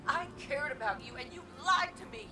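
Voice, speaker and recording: A woman's voice: high voice, expressive, a little fast, distant mic. An actress playing a hurt, fiery woman in a game drama, blunt, accusing and emotional. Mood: angry, hurt. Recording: off-mic with clear background noise.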